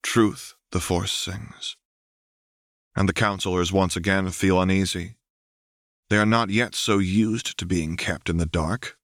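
A man's voice: sits low, steady tone, moderate pace, a bit bright, no room sound, clean studio sound. A man reading scripted fiction aloud as an audiobook narrator. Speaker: audiobook reader.

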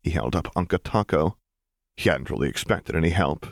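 A man's voice: a bass voice, expressive, a little fast, gravelly, no echo, studio quality. A man reading a story aloud as scripted audiobook narration. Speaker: audiobook reader.

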